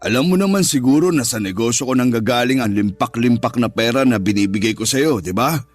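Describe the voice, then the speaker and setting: A man's voice: medium pitch, animated, a little fast, lightly acted. A man with a Filipino accent playing a wealthy, jealous, controlling businessman lover in a Tagalog radio drama. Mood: reassuring. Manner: controlling, alternately reassuring and threatening.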